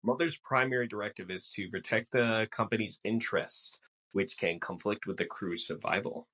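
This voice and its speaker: Male podcast co-host, fairly low pitch, conversational and explanatory, measured delivery. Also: pushed and bright. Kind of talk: casual movie discussion.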